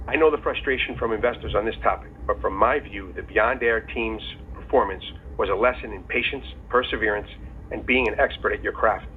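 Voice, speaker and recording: A man in the role of company executive gives a scripted earnings call presentation in a formal, measured way. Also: lowish voice. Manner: formal, measured reading of prepared remarks. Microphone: telephone conference call. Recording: tinny phone line with faint noise.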